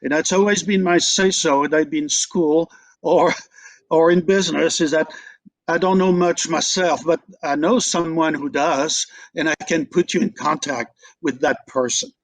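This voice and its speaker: A man's voice: a little high, fairly even intonation, normal speed, low-quality recording. A man speaking informally as a guest presenter on a panel. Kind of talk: informal closing remarks of a presentation.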